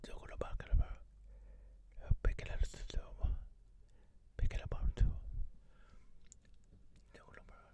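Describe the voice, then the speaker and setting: An ASMR host speaking in a breathy whisper, with repeated nonsense syllables and mouth sounds, inside a car. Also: moderate pace, pauses often, warm tone.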